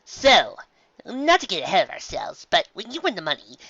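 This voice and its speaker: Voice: medium pitch, wildly swooping pitch, normal speed, bright, forceful tone, breathy edge, dry recording, lo-fi sound, pitched above the performer's own voice, exaggerated voice. A reader voicing a scheming female pony mayor who teases in a sly way. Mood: teasing.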